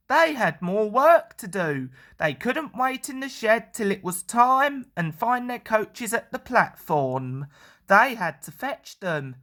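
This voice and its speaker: A woman reads a children's story aloud. The voice is fairly high and very expressive, moving along at an unhurried pace.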